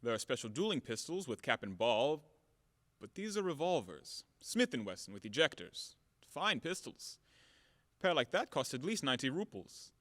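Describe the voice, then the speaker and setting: An actor playing a brash, hot-tempered, blunt creditor in an audio play of a classic farce, with a blustering, theatrical, emphatic manner. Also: male voice, medium pitch, big pitch swings, moderate pace, fairly bright tone, dry recording, long reverb tail. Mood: smitten, softening.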